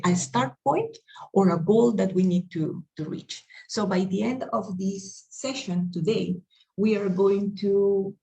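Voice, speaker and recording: A woman's voice: mid-range voice, a little mellow, low-quality recording. A woman from Peru with a Peruvian Spanish accent, presenting a diversity training session and often checking in with "right?" as she searches for her phrasing. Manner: earnest, searching phrasing with tag questions like "right?". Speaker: workshop presenter.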